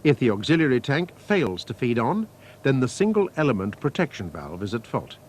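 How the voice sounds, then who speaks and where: A man reading scripted technical instructions as a training film narrator, in a measured, methodical, formal manner. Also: mid-range voice, very animated. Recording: faint noise, archival training film soundtrack.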